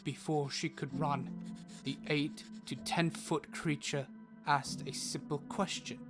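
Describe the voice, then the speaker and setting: Slow male narrator over prominent music. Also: a little high, steady tone. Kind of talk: scripted fantasy story narration.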